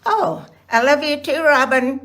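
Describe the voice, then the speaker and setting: A woman of ninety giving halting, heartfelt blessings in a casual family chat. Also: high-pitched, animated. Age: 90s. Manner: slow, halting, warm blessings. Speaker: guest.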